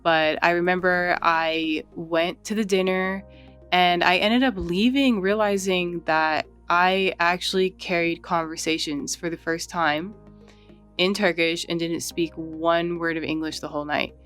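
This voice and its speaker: A woman chatting casually while she draws, relaxed and rambling, often saying "like" and "you know". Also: lowish voice, a little slow, clear, resonant tone, faint music behind. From United States. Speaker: art channel host. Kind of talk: casual chat while drawing.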